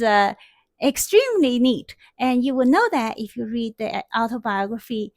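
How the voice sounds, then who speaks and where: High-voiced woman teacher with headset mic. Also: no room sound, studio quality. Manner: chatty, many fillers and restarts, animated gestures. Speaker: math teacher. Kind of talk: casual storytelling lecture. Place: classroom in front of a whiteboard.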